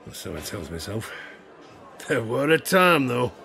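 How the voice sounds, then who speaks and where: Old ex-harpooner, audio drama: crackly, gravelly voice, very animated, theatrical, rueful storyteller with salty rustic speech. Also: male voice, mid-range voice, exaggerated voice. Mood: jovial. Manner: theatrical, rustic dialect, drawn-out suspenseful phrasing with chuckles. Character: weathered old male sailor, superstitious ex-harpooner, yarn-spinning and haunted. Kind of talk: dramatic first-person tale-telling, audio drama.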